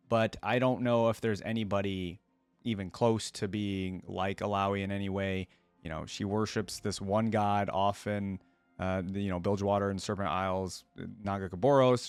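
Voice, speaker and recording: Guy doing casual reaction commentary, lowish smooth voice, kinda rambly and thinking out loud, dry close sound. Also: no room sound. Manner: rambling, thinking aloud, conversational. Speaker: YouTube reaction host.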